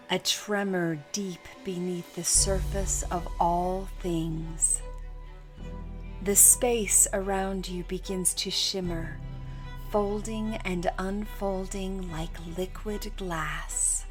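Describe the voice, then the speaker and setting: A woman narrating a scripted guided meditation in a hushed, deliberate manner with long pauses. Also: fairly low pitch, steady tone, music in the background.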